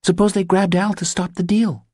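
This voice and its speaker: A man voicing an earnest, scheming young woman with a hushed, insistent manner in a thriller audiobook. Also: high-pitched, little pitch movement, normal speed, no echo, studio quality, pitched above the performer's own voice. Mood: urgent, persuasive.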